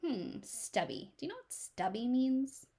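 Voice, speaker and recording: A woman reading aloud to children as a teacher, expressive, pausing to ask questions and explain things simply. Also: high-pitched, breathy edge.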